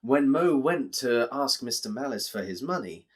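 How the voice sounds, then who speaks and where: An English man tells a story for children. His pitch moves around in a very lively way, and he explains things directly to his listeners with plenty of expression. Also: moderate pace, slightly rough.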